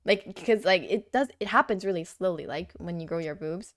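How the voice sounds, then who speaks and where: A woman's voice: on the low side, normal speed. A young woman co-hosting a podcast, talking candidly and casually with lots of "like" and laughter. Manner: candid, laughing often, filler "like". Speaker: podcast co-host. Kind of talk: casual chat.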